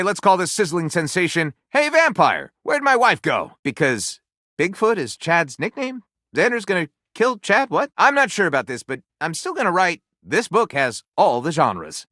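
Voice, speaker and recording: A man's voice: on the high side, bright, forceful tone, dry recording, studio quality. A man voicing a theatrical, flirty literary storyteller in a video game, pompous and grandiose, with wry asides. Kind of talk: dramatic video game character acting.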